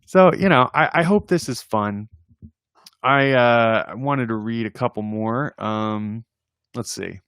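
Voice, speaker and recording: A man hosting a casual read-aloud of children's poems, playful and expressive in verse, with informal chatty asides. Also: medium pitch, slow, clean tone, no echo, studio quality. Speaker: host reading poems aloud on a livestream.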